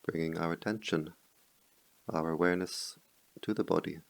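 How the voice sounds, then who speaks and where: Very deep, gravelly man guiding meditation. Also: steady tone, dry recording. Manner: slow, measured phrases with pauses. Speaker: meditation teacher. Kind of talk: guided meditation.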